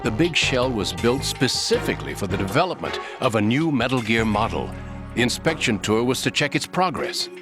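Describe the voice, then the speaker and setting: An actor playing a self-assured military insider in a video game, explaining things in an assertive way. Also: male voice, medium pitch, big pitch swings, moderate pace, music in the background. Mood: confident, explanatory. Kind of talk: video game cutscene acting.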